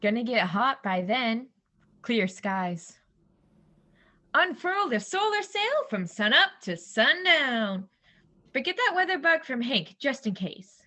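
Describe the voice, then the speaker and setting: A woman playing a spirited, teasing, bossy backwoods wife in an audio drama, brisk and commanding. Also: on the high side, very animated, bright, forceful tone, full character voice. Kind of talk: amateur audio drama acting.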